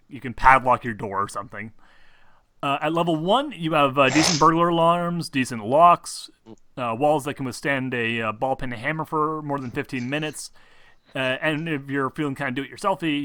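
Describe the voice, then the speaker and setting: A man with a bright, crisp-edged voice in a dry recording, running a tabletop game. He explains the rules informally as game master. His delivery rambles and hesitates, with casual swearing mixed in. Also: medium pitch, no echo.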